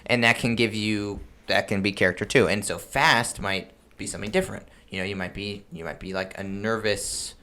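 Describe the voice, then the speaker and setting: Low-voiced man explaining casually. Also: steady tone, a little fast, hardly any pauses, pushed and bright. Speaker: podcast host.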